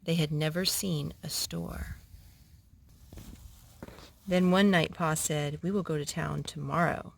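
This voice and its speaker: A woman's voice: sits low, steady tone, takes it slowly, creaky, long pauses. A woman reading stories aloud for children, now and then correcting a slip as she goes. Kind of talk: read-aloud children's storytelling.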